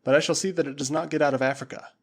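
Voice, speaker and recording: A male audiobook reader voicing a calm, noble jungle lord who speaks in a measured, commanding way. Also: on the high side. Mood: assured.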